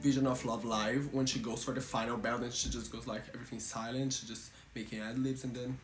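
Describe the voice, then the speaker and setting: Gushing young Brazilian man, echoey room. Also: brisk. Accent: Brazilian Portuguese. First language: Portuguese. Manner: gushing and playful, casual swearing, jumps to high excited squeals. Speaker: music reaction vlogger. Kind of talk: casual chat. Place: indoor room with a pink backdrop. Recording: roomy echo with music behind.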